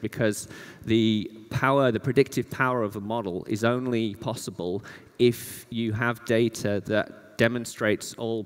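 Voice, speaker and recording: A man giving an informal, explanatory conference presentation with emphatic repetition. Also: lowish voice. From Yorkshire, northern England. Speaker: conference speaker, data scientist. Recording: reverberant hall sound.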